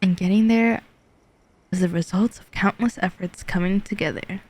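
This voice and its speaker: A woman's voice: mid-range voice, steady tone, normal speed, a little mellow. A woman streamer playing a game, reacting casually and reading lines aloud. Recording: background music under the voice.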